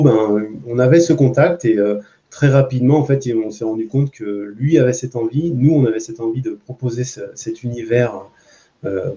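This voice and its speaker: A man speaking off the cuff on a panel as a comic book author, haltingly and with repeated words. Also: mid-range voice, dark tone. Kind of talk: spontaneous panel talk. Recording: muffled, dull sound.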